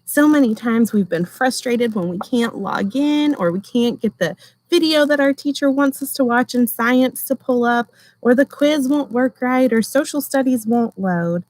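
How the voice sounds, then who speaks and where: A woman's voice: fairly high pitch, moderate pace, darkish tone, poor audio. A woman speaking to children as a teacher during a storybook read-aloud. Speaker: teacher reading aloud to students.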